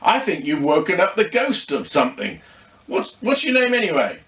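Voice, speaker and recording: A man voicing a brave, brisk, bossy middle-aged woman shopkeeper in a storybook reading. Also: on the high side, wildly swooping pitch, fairly bright tone, reverberant, recorded from a distance, voice pushed up. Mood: brisk, curious. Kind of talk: audiobook storytelling.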